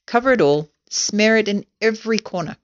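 A woman voicing a bossy, excitable male monkey ringleader in a storybook, giving urgent orders. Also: medium pitch, brisk, highs cut off, voice pushed up, close to an everyday voice. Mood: frantic, urgent. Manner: urgent, shouted commands.

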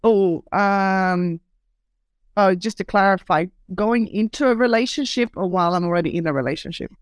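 A woman from Serbia, a native Serbian speaker, answers questions as a podcast guest, friendly and a bit hesitant. Her voice is smooth and clear at a middle pitch, heard dry with no room sound. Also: moderate pace, no room sound. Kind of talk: casual interview chat.

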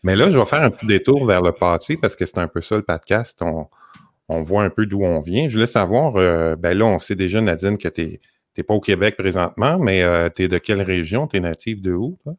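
Very deep-voiced Québécois host. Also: male voice, expressive, fairly quick, no echo, studio quality. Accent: Québécois French. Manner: rambling, filler-heavy questions. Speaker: podcast host. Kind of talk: casual podcast interview.

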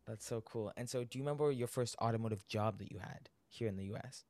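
A man's voice: on the low side, fast, a little mellow, no room sound. A young man hosting a podcast interview, asking earnest questions and reacting eagerly to the answers. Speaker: podcast host.

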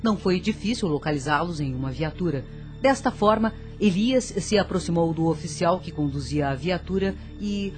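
A woman's voice: fairly low pitch, darkish tone. A woman narrating a radio drama from a script.

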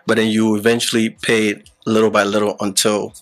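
A man's voice: fairly low pitch, monotone, brisk, fairly bright tone. A man who grew up partly in Ghana, a podcast guest explaining things casually with many fillers and restarts. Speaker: podcast guest, app founder. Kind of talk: casual explanatory interview talk.

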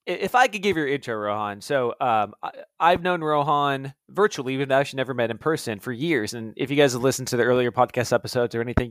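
A man's voice: mid-range voice, a bit bright, dry recording. A man co-hosting a podcast, chatting casually with a guest in a laid-back, enthusiastic, slangy way. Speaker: podcast co-host. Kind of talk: casual interview chat.

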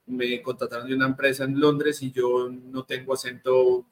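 A man's voice: mid-range voice, completely flat delivery, normal speed. A man with a Colombian accent hosting a conversational interview, speaking emphatically and directly to his guest and audience. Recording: noticeable background noise.

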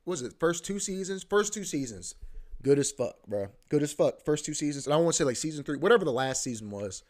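A man's voice: on the high side, lively intonation, fast talker, darkish tone. A young man hosting a podcast, rambling casually with lots of profanity, repeating himself and filling gaps with "bro" and "you know what I mean". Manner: repeats himself, often restarts. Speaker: podcast host.

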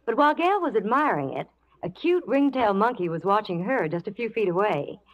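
A woman's voice: medium pitch, expressive, fairly quick. A woman presenting scripted 1940s radio advertising patter with a partner. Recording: muffled 1940s radio recording.

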